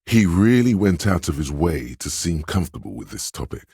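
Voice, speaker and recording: A sly, persuasive voice inside the head for a video game. It sits at the very bottom of the male range and is thick with husk. The delivery is measured and knowing, sizing people up and nudging the listener, in a close, dry recording. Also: low voice, animated, creaky, a little mellow, husky, no room sound, heavily acted. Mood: knowing, coaching. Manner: measured, knowing, insinuating coaching. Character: Suggestion, a sly insinuating inner voice reading people and coaching. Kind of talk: scripted video game narration.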